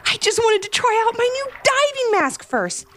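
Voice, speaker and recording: A woman's voice: extremely high pitch, sing-song, moderate pace, noisy background, pitched above the performer's own voice. A woman voicing a young, playful and cheerful girl in a children's story, eager and full of exclamation.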